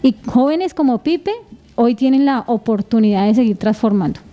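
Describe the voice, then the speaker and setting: A Colombian woman talks as a radio co-host in a casual, reflective style. Her voice sits a little high and has a very soft, warm tone. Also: normal speed.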